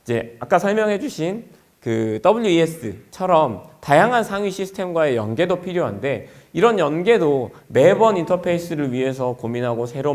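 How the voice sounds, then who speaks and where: A man's voice: on the high side, a little mellow. A man presenting a product at an industry conference in an explanatory way, as a company executive would.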